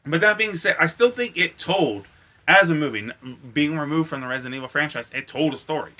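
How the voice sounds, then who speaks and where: A man with a very bright, forceful voice that sits a little high. He co-hosts a casual podcast, telling animated, meandering stories and swearing freely. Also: fairly quick. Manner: animated, profane, rambling storyteller. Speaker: podcast co-host. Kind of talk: casual chat.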